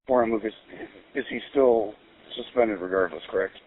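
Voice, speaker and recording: A man's voice: on the low side, moderate pace, a little hiss or hum. A male police officer making terse, matter-of-fact procedural calls over a police radio. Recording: tinny narrow police radio.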